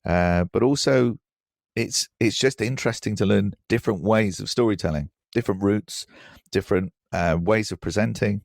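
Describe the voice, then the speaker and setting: Male interview guest: low register with creaky fry, hesitant and self-deprecating, reflective conversational delivery. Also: normal speed, no echo. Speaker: podcast guest, writer.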